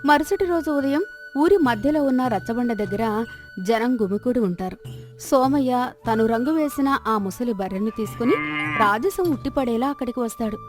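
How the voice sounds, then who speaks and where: A woman tells a scripted folk tale as narrator. Her voice is fairly high, and music plays underneath. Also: lively intonation, moderate pace. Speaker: storyteller, narrator. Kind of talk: scripted moral-story narration.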